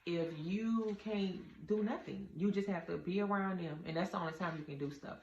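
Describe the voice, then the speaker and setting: A woman with a very low, deep voice and a gravelly edge talks earnestly to her viewers, giving casual advice. She sounds like she is in a roomy indoor space. The recording is a little dull, with a faint hum of ambience underneath. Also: moderate pace, a little mellow. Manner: earnest, addresses viewers as y'all. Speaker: vlogger giving relationship advice. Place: indoor room with a door.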